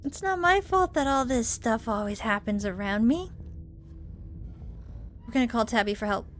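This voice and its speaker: A woman's voice: a little high, lively intonation, normal speed, a little hiss or hum. A woman reading visual novel text aloud expressively, with casual commentary as she plays. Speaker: gamer narrating a visual novel playthrough. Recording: music behind the voice. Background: game music.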